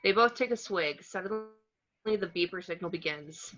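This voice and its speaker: Woman reading stage directions, bright punchy voice, slightly muffled lo-fi mic. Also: lowish voice, normal speed. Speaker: reader of the action description. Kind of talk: scripted screenplay narration. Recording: dull, lo-fi sound.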